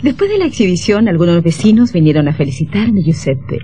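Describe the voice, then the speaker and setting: A woman's voice: lowish voice, sing-song, fairly quick, dark tone, soft background music. A woman playing a devoted, anxious twenty-year-old daughter in an old radio drama, earnest and melodramatic. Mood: admiring.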